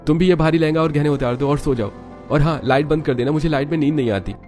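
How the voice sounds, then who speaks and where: A man's voice: on the high side, fast, a little mellow, soft background music, voice pushed up, natural voice. A man voicing a casual, easygoing young husband in a Hindi cartoon story. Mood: tired, casual.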